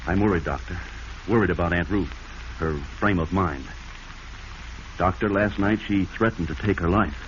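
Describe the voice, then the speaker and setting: Deep-voiced scheming radio villain, played by a man. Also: moderate pace, pauses often, close to an everyday voice. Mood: feigned worry. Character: cold, scheming nephew, smooth-talking and feigning concern. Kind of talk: 1940s radio drama acting. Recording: noisy, muffled 1940s radio recording.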